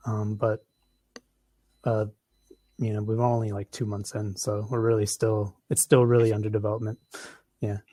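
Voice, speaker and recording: A man's voice: on the low side, flat delivery, moderate pace, pauses often, mellow, no room sound, poor audio. A man with an American accent answering audience questions after a conference talk, with lots of ums and restarts. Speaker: conference presenter.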